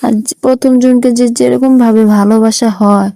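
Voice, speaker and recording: Heartbroken young Bangladeshi sweetheart played by a woman, reproachful, mellow, very dry close sound, phone-call drama. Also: on the high side, steady tone, normal speed, gravelly, no room sound. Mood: bitter. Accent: Bangladeshi Bengali. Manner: reproachful, plaintive.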